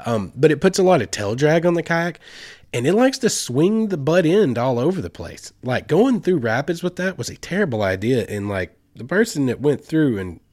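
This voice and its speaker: A man's voice: on the high side, very animated, normal speed, a little mellow, dry recording, studio quality. A man congested with a head cold gives casual, rambling instructional talk as a host, in a folksy, informal way with slang. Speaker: host giving outdoor trip advice.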